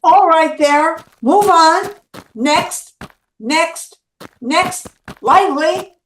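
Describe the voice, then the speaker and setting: A woman's voice: extremely high pitch, extremely slow, heavily acted. A woman playing a brusque, callous guard in a stage play, giving impatient, clipped orders. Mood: impatient, commanding. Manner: barking, impatient, clipped commands. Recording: distant echoey stage, faint noise.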